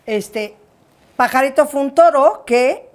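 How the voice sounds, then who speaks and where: A woman with a Mexican accent hosting an interview, lively and prompting her guest. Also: high voice, takes it slowly. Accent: Mexican Spanish. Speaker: interview host. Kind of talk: casual interview chat.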